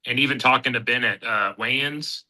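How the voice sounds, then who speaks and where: A man in his thirties from Kansas City talks loosely as an interview guest. His voice is very bright and ringing at a middling pitch, and he rambles through fillers and restarts. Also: fairly quick, low-quality recording. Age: 30s. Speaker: guest, bare-knuckle fighter. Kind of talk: casual interview chat.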